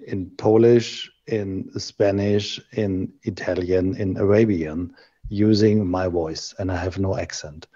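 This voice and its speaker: A middle-aged man speaking in a measured, thoughtful way in a casual video call discussion. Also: lowish voice, mellow, husky. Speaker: panel guest. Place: indoor room on a video call. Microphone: desk microphone on a video call. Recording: dull lo-fi video call audio.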